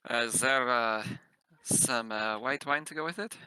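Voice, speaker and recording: A man's voice: mid-range voice, unhurried, bright, forceful tone, clear, resonant tone, dry recording, rough recording. A man casually narrating and speaking for his character in a tabletop roleplaying game.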